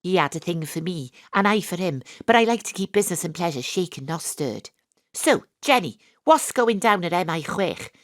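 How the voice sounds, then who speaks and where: A woman playing a brisk, tongue-in-cheek private detective with a Welsh accent, acting and narrating in a comic audio drama. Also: lowish voice, expressive, no room sound, studio quality, lightly acted. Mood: wry narration.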